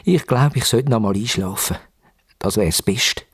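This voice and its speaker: A man voicing an excitable, impatient Easter bunny in a children's radio play, with a Swiss German accent and eager exclamations. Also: fairly low pitch, a little slow, breathy edge, no echo, clean studio sound. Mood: restless, hopeful. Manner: eager, exclaiming, wondering aloud. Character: excitable, impatient, easily startled young-hearted male Easter bunny.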